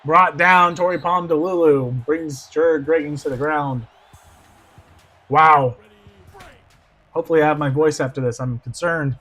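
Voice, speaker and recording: Hoarse dude doing football play-by-play, high sharp voice, yells the big plays. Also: male voice, measured pace, pauses often, faint music behind. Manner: swings from hushed asides to shouted excitement. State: going hoarse. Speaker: play-by-play commentator. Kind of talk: excited simulated football commentary.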